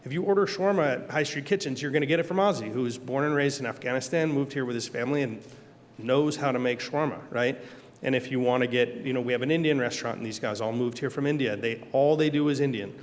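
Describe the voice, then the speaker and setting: A man's voice: medium pitch, quick pace, big-room sound. A man on a discussion panel, talking casually and telling anecdotes, laughing as he goes. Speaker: panelist, kitchen operator. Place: event hall.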